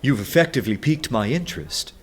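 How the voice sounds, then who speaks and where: An actor playing a wry, cultured artist turned detective in a radio play, articulate and dryly theatrical. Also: male voice, mid-range voice, lively intonation, fast talker, husky. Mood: curious. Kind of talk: dramatised first-person narration and dialogue. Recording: music or ambient noise behind.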